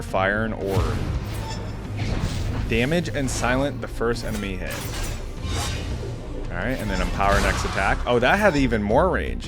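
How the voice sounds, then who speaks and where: A man giving casual, informal gaming reaction commentary as a video host, enthusiastic and rambling. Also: lowish voice.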